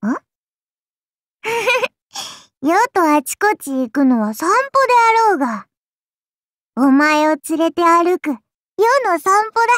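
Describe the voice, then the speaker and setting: An imperious little oni god-child for an anime game, high-voiced and very animated. The delivery is slow and lordly, with archaic phrasing and a playful, indulgent haughtiness, like an ancient deity talking down to a pet. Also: female voice, no echo, studio quality, exaggerated voice. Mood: indulgent, teasing. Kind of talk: anime game character voice lines.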